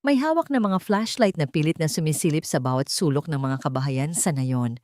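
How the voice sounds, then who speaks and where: A woman with a Filipino accent narrating a scripted dramatic story in a measured, solemn way. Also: low voice, expressive, a little mellow, dry recording, clean studio sound.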